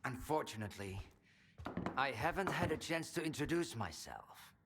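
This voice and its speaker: An actor playing an earnest, grandiose scientist in a video game, speaking in lofty, pleading phrases. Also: male voice, medium pitch, sing-song, normal speed, long reverb tail, background noise. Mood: solemn, grandiose. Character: grandiose, anxious male scientist, visionary head of an institute.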